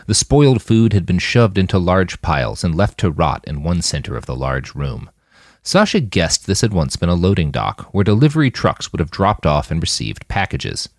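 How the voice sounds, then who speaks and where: Male fiction narrator, very low voice, highly animated delivery, dry recording. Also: no room sound. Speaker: audiobook narrator.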